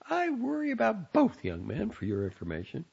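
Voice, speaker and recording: A nagging, fretful middle-aged mother with a raised, swooping voice, as a male reader puts her on. She scolds in a husky, slightly gravelly tone that leaps up high. The recording sounds dull and lo-fi. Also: huge pitch swings, moderate pace, a little mellow, heavily acted. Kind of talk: audiobook character voice.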